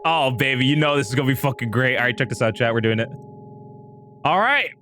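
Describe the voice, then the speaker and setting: A man's voice: mid-range voice, expressive, fast, bright, forceful tone, slight background noise. A man hosting a live stream with casual chat, rambling and repeating himself as he talks straight to his viewers. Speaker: livestream host.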